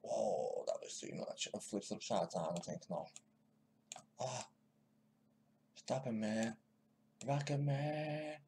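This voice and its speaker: A man's voice: medium pitch, animated, takes it slowly, vocal fry, long pauses, mellow, a little hiss or hum. A man giving casual, mocking commentary while editing clips, often muttering to himself. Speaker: video maker commenting on news clips.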